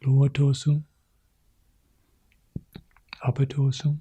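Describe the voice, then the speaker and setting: Soft, dark-voiced man guiding meditation very slowly. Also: medium pitch. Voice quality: soft, husky, breathy. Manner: calm, sparse short phrases with long pauses. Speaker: meditation teacher guiding a practice. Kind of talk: guided meditation.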